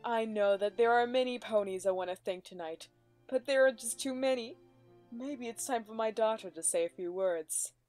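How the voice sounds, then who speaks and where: A woman's voice: on the high side, moderate pace, darkish tone, faint music behind, natural voice. A woman voicing a proud older mother mare giving a gracious, formal speech in a cartoon fan reading.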